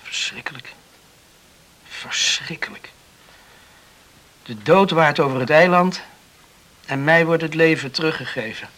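Bright, high-voiced earnest young island man. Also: a little slow, long pauses. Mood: grave. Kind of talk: radio drama acting.

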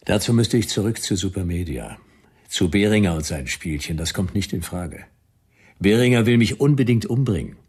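An actor playing a wry, stubborn private detective in a German radio drama, dry and sardonic with a husky voice. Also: male voice, low-pitched, lively intonation, normal speed, a little mellow. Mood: dry, wary. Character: Jonas, wry, stubborn hard-boiled male private detective.

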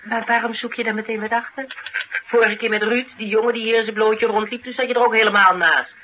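A woman's voice: a little high, fairly even intonation, quick pace, muffled recording, close to an everyday voice. An actress playing an assertive, wry woman investigator in a naturalistic Dutch radio drama, speaking in a dry, pointed way. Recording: radio drama with light background noise.

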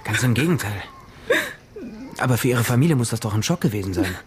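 An actor playing a glib, streetwise private detective in radio drama dialogue, brisk, casual and probing. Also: male voice, mid-range voice, expressive, slight background noise, natural voice. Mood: coaxing.

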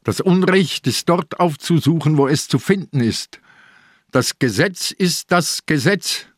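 An actor playing an ailing, stubborn old police inspector in a German radio crime drama, with slow, weighty, terse delivery. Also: male voice, a little high, animated, dry recording, clean studio sound. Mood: stubborn, resolute. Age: older.

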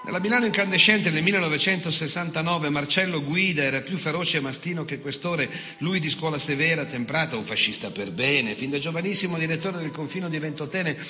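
An actor playing an unrepentant, bitter fascist nostalgic in a declamatory, sardonic theatre monologue. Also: male voice, on the high side, brisk, few pauses, pushed and bright. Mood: sardonic, emphatic. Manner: declamatory, sardonic, dramatic swings between murmur and outburst. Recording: reverberant theatre hall with music.

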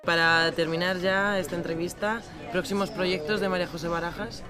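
Guy doing a radio interview, quick questions, music blasting behind him. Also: high-pitched, flat delivery.

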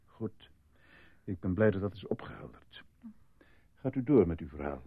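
An actor in a radio drama playing a calm, probing male questioner who speaks in a measured, formal way. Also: low voice, husky, no room sound, full character voice. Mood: soothing, controlling. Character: calm, probing male questioner, smoothly steering a witness's story. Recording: tinny, phone-like band, dry sound.

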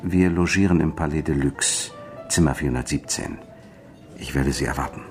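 An actor playing a secretive, commanding client who gives deliberate, clipped instructions in a noir radio play. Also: male voice, rumbling low, over music. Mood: deliberate, instructing. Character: male client briefing a detective, secretive and commanding.

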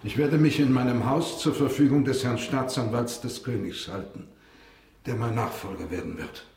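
An actor playing a proud middle-aged royal prosecutor broken by guilt and horror in a radio drama, agitated and husky. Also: male voice, mid-range voice, moderate pace, a little mellow, a little hiss or hum, lightly acted. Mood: resigned confession. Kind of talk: German radio drama acting.

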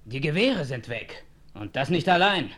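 An actor playing an agitated, excitable frontier westerner in a German radio play, sounding alarmed. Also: male voice, on the high side, normal speed.

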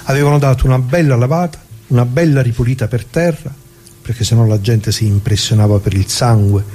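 An actor playing an elderly, plain-spoken working-class man from northern Italy in a conversational monologue, talking haltingly and colloquially straight to listeners. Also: medium pitch, mellow, close to an everyday voice. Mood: wry, bitter. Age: older.

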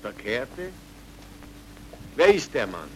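A man's voice: mid-range voice, very animated, slow, slight background noise, rough recording. An actor playing a reluctant house porter in a radio drama, hesitant and defensive, repeating himself as he dodges questions. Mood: flustered.

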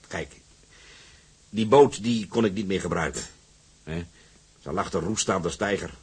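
An actor playing a commanding, shrewd old skipper in a Dutch period drama, speaking gruffly with hesitations and throat-clearing. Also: male voice, low-pitched, unhurried, exaggerated voice. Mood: gruff, businesslike. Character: commanding old skipper, shrewd but generous boat owner.

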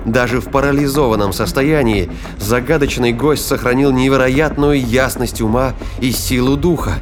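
A man's voice: medium pitch, moderate pace. Male audio drama narrator over music.

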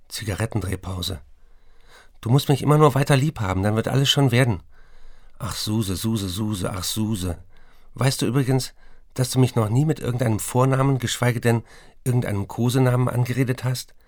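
A man's voice: mid-range voice, no echo, lightly acted. An actor playing a besotted, restless writer in a radio play, reading his letters aloud with dry, precise, literary phrasing. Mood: tender, yearning.

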